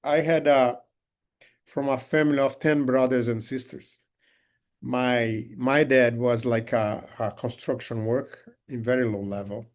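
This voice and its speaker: A man with a Brazilian Portuguese accent presents in his second language over a video call. His pitch sits in the middle, and he talks haltingly, full of restarts and fillers. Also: fairly even intonation. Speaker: guest speaker. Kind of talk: online talk.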